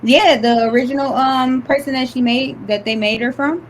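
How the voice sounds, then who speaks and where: A young woman with a high, light voice talks in a relaxed, giggly way, filling her sentences with "like" and "um." A faint hiss runs under the recording. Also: normal speed. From Detroit. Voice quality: high-pitched voice. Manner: laid-back, giggly, lots of "like" and "um". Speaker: author, podcast guest. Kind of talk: casual chat.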